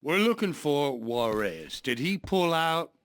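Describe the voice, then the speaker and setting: An actor playing a tough Old West gunslinger in a Western game, with a drawn-out delivery. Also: male voice, on the high side, very animated, fairly bright tone, full character voice. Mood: blunt. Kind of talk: dramatic Western game cutscene acting.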